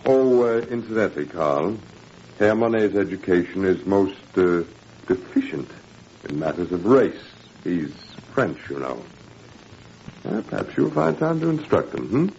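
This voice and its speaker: An actor in a 1940s radio drama playing a smug, menacing Nazi education official with a sardonic, mock-courteous manner. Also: male voice, low-pitched, sing-song, unhurried, vocal fry, darkish tone, heavily acted. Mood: veiled threat. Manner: silky, sardonic, mock-courteous, drawling hesitations. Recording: dull, noisy 1940s radio recording.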